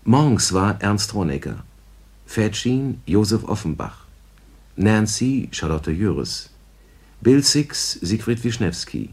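A man formally reading the credits of a 1950s radio play in a deliberate announcer's manner. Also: fairly low pitch, sing-song, breathy edge.

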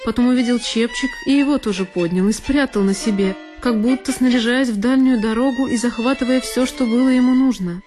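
Woman reading literary drama, fairly high voice, very theatrical and intense, music in the background. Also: a little fast, few pauses, warm tone. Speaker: actress narrating a one-woman audio play. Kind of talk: dramatic scripted literary narration. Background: music with solo violin.

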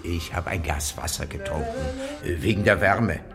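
An actor playing an awkward, defensive mailman in a naturalistic radio play, halting and understated. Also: deep voice, creaky, over music. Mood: polite, awkward. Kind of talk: naturalistic German radio drama acting.

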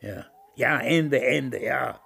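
An actor in a radio drama playing a cantankerous, fatalistic old father with a raspy, creaky voice. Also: mid-range voice, slow pace, bright, forceful tone, heavily acted. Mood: flustered, distracted. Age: older. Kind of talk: German radio drama acting.

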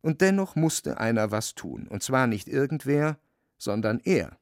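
Gravelly male radio drama narrator. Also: mid-range voice, sing-song, a little slow, dry recording, studio quality.

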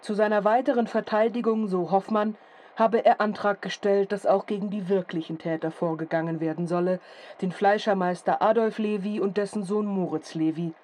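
An actress playing a formal, detached reporter reading a matter-of-fact news report in a radio drama. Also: female voice, medium pitch, steady tone, normal speed, darkish tone, natural voice. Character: detached female newsreader reporting period town unrest. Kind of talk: scripted narration, news report.

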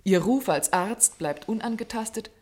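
An actress playing a determined young secretary in a German radio drama, earnest, articulate and insistent. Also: female voice, mid-range voice, normal speed, darkish tone, a little hiss or hum, close to an everyday voice. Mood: challenging.

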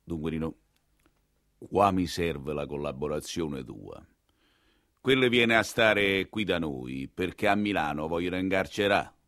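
A man's voice: low-pitched, expressive, a little slow, a bit bright, no room sound, studio quality, voice pushed down, exaggerated voice. A man playing a pompous, drunken old cardinal, grumbling and scheming in a stage monologue. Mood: conspiratorial. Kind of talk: theatrical monologue dialogue.

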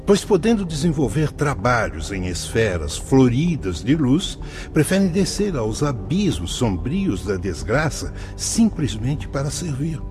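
Casting: a paternal spirit guide in a radio play, formal and fervent, very animated pitch, light music behind. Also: male voice. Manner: measured, paternal, preacherly phrasing. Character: kindly solemn spirit mentor, brother Anselmo, paternal guide. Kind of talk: radio drama acting, formal and declamatory.

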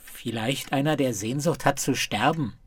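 Male actor as grumpy small-town man, husky, mid pitch, dry, curt, radio drama. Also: moderate pace, no room sound, natural voice. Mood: wry, grumbling.

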